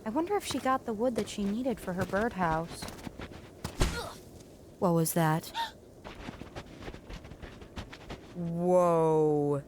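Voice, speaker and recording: A woman's voice: on the low side, slow, background noise. A woman playing a determined, kind young female mountain climber, plucky and inquisitive, in a family audio drama. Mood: wary, musing.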